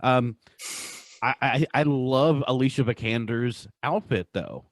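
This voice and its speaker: A man's voice: medium pitch, takes it slowly, very noisy. A man in his forties chatting casually as a podcast host, gushing and often repeating himself.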